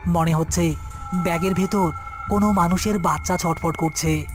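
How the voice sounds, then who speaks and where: Horror story narrator, mid-pitched, scripted storytelling over clear background music. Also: fairly even intonation, normal speed.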